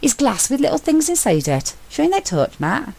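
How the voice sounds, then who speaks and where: A woman reading a story aloud and voicing an excitable young man, chattering as he explores. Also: normal speed, creaky, heavily acted. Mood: excited chatter. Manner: high-pitched, chattering commentary.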